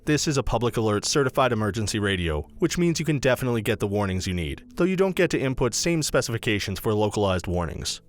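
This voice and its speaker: A man reading a scripted product review as a voice-over narrator, in a brisk, even read-aloud delivery. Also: on the low side, soft background music.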